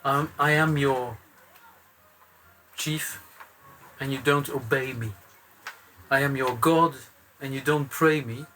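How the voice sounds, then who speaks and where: A man reciting a verse in a deliberate way. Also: medium pitch, steady tone, a bit bright, slight background noise, low-quality recording.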